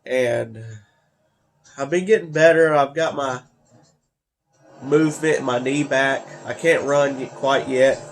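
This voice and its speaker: Gravelly congested young man. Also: medium pitch. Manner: rambling, halting, many fillers and restarts. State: congested from allergies. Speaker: gaming video creator. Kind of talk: casual commentary while playing a racing game.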